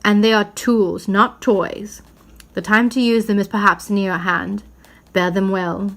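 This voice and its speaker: A woman's voice: medium pitch, steady tone, measured pace, fairly bright tone. A woman voicing a kindly, commanding old Father Christmas from a storybook, in a solemn, declamatory manner.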